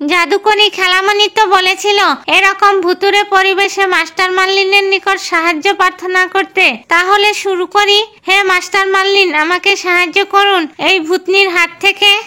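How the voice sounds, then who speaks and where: Woman playing a bright, very high little fox girl. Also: level tone, moderate pace. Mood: pleading. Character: young female fox child, eager, trusting, determined. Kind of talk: cartoon fairy-tale voice acting.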